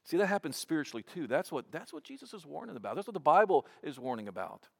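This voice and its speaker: A man's voice: on the high side, big pitch swings, fast, gravelly, breathy edge. Man in his fifties preaching conversationally. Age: 50s. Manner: conversational preaching, repeats words when restarting. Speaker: pastor. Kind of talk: sermon.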